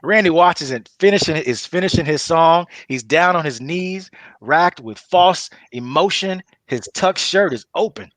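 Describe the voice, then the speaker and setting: A man's voice: a little high, animated, unhurried, a bit bright, dry recording. A man reading stage directions as narrator at a script table read.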